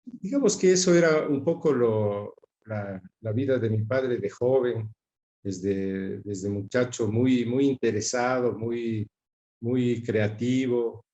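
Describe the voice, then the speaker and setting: A middle-aged man with a Quito Ecuadorian accent and a soft, mellow tone reminisces as an interview guest. He speaks reflectively and haltingly, often repeating words, and the room echoes around him. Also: mid-range voice, lo-fi sound. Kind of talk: conversational reminiscence. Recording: roomy, echoing sound.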